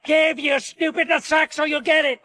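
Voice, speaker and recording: An actor playing an aggressive, frantic armed robber in a radio drama, barking urgent short commands. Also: male voice, very high-pitched, fairly even intonation, normal speed, bright, forceful tone. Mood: menacing, shouting.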